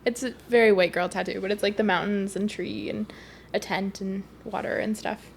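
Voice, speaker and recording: A woman's voice: medium pitch, steady tone, normal speed, creaky, slight background noise. A young woman from Canada chatting casually as a podcast guest, rambling with lots of fillers and laughs. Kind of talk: casual conversation.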